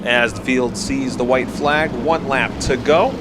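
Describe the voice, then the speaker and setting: A man's voice: medium pitch, measured pace. Dry male race announcer, noisy trackside. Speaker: race commentator. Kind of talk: live stock car race commentary with joking banter. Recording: loud vehicle noise behind. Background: race cars and trackside noise.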